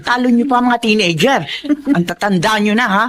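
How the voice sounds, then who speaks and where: A woman's voice: medium pitch, a little fast, crowd noise, natural voice. A woman playing a scolding, exasperated middle-aged mother and aunt in a Filipino radio drama. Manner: nagging.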